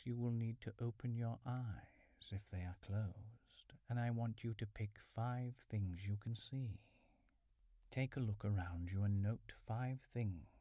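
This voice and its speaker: A man leading a calm guided mindfulness meditation in a soothing, measured, instructional way, with soft breathy phrase endings. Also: lowish voice, no echo, clean studio sound. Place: small furnished room at home.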